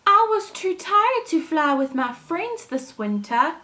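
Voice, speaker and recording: A small storybook swallow, played by a woman, speaking in a raised, high voice. He is a gentle, loyal friend, earnest and full of exclamation. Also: sing-song, slow pace, faint music behind, full character voice. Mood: weary. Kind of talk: children's storybook character voice.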